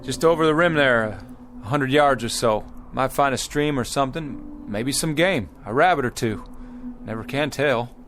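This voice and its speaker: An actor playing an earnest, desperate pioneer husband who leads a wagon party and speaks haltingly in a radio drama. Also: male voice, medium pitch, a bit bright, slight background noise, lightly acted. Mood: grave, resolute. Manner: halting, stammering, repeating words.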